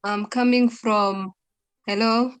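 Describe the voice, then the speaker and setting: A woman's voice: a little high, fairly even intonation, takes it slowly, clear, resonant tone, dry recording. A young woman, a computer science student, introducing herself politely on an online call. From Kenya.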